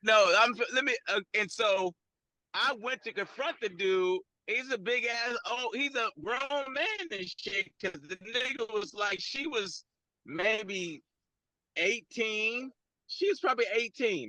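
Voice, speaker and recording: A man on a video call telling a personal story in a lively, defensive, laughing way. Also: high voice, no echo, rough recording. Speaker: guest.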